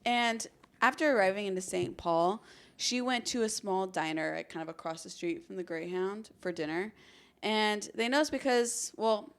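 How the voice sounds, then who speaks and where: A woman's voice: medium pitch, normal speed, fairly bright tone. A young American woman hosting a podcast, telling a true crime story in an informal, conversational way. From Arizona, United States. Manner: informal, chatty. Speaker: podcast host.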